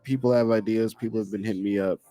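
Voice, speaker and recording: A man's voice: lowish voice, steady tone, fast, mellow. A young man speaking as a guest in a casual interview, informal and slangy in his phrasing. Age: young adult. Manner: informal, slangy, often tags "bro" and "you know". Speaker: guest, music producer. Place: indoor studio with curtains. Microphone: handheld-close studio microphone.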